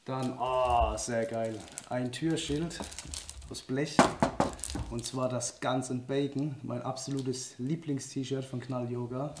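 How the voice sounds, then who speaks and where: A man, chatting enthusiastically through an unboxing, his voice slightly hoarse from a cold. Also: mid-range voice, steady tone, slow. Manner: enthusiastic, chatty, laughs often. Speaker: outdoor and shooting sports vlogger. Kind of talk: casual unboxing vlog. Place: indoor room with a wooden table. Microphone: camera-facing, seated at a table. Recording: echoey room, faint noise.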